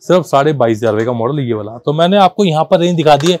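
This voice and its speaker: A man's voice: a little high, animated, fast, darkish tone, a little hiss or hum. A man doing an informal, insistent product walkthrough as a shop seller, repeating himself and mixing in English numbers and terms. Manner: insistent, repetitive, addressing viewers as brother. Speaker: car accessories shop seller presenting stock.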